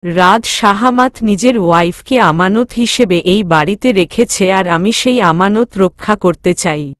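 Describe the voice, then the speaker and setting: A woman's voice: mid-range voice, measured pace, no echo, studio quality. A woman reading scripted narration of a romantic drama story as a storyteller.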